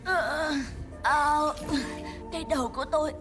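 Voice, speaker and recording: A woman voicing an anxious young robot in an animated horror series, heard in playback. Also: high-pitched, a little slow, soft background music.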